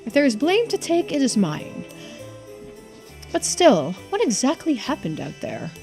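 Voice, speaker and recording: A woman plays a grave, courteous man from a mystery video game. The phrasing is formal and measured, with expressive rises and falls. Faint music sits behind the voice. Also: high-pitched, vocal fry, voice pushed up, full character voice. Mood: remorseful. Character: polite, grave, remorseful male client in a mystery game.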